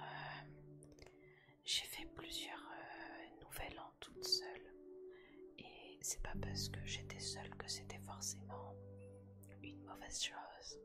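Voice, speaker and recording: A woman whispering gently and caringly in an intimate ASMR style, like chatting with a friend. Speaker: ASMR roleplay host. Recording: music clearly behind the whisper.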